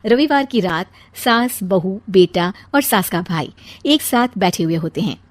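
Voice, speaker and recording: A woman's voice: mid-range voice, lively intonation, a little slow, no echo. A woman narrating a scripted story as a storyteller.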